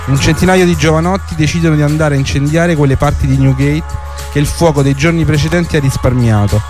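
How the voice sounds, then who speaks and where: A man's voice: a little high, fairly quick. A man reading a scripted historical account in literary prose as the narrator of a radio drama. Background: hip hop music under the voice.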